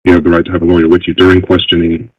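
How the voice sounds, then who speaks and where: A man working as a federal agent, questioning a suspect in a calm, professional, procedural way, in a muffled lo-fi interview recording. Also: low-pitched, rapid-fire, breathy edge. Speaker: FBI agent. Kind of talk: formal interrogation.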